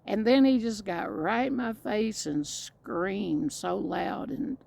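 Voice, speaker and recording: A woman's voice: mid-range voice, slow pace, vocal fry, a little mellow, breathy edge. A middle-aged woman with a Southern accent, telling a rambling story to the camera in her vlog and restarting her sentences often. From Mississippi and Indiana. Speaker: vlogger. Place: outdoors, storm coming.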